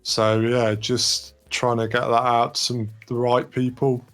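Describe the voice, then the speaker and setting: A man's voice: medium pitch, flat delivery, measured pace, a bit bright, soft background music. A man talking casually as a guest in an informal panel discussion. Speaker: record label guest.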